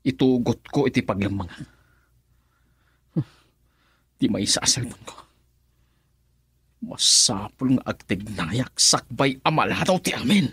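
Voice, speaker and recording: A man's voice: mid-range voice, expressive, a little slow, pauses often, fairly bright tone, slightly rough, exaggerated voice. An actor playing a menacing, controlling man in a radio drama, with a commanding, taunting manner. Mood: agitated, scheming.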